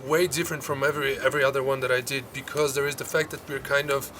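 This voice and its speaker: A man's voice: medium pitch, level tone, fast, fairly bright tone, a little hiss or hum. A man from Brazil, a sound artist who repeats phrases as he thinks.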